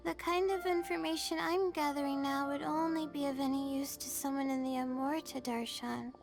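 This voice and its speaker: An actress playing a gentle, studious, weary young female student in a video game, earnest and slightly anxious. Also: high-pitched, fairly even intonation, normal speed, creaky, music in the background. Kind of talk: animated game voice acting.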